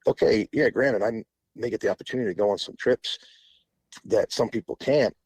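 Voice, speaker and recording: A man's voice: on the low side, brisk, a little mellow, slightly rough, dry recording. A man in his forties, a podcast guest telling long stories in a rambling, casual way with frequent restarts. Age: 40s. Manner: rambling, restarts often, laughs between phrases. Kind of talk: casual interview storytelling.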